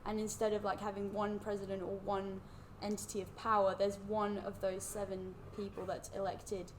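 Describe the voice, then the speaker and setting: A young woman from Switzerland talks through her views in a casual podcast chat, hedging with fillers. Her voice sits at a middle pitch, with a faint hiss behind it. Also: little pitch movement, normal speed. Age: young adult. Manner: explains at length, hedging with fillers. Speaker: guest, international student.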